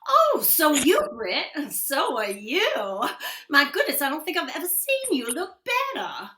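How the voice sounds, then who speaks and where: A woman playing a proud, pushy small-town wife in a radio western, folksy and sharp-tongued. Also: sits high, huge pitch swings, unhurried, roomy sound, heavily acted. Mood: gushing. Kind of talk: old-time radio western acting.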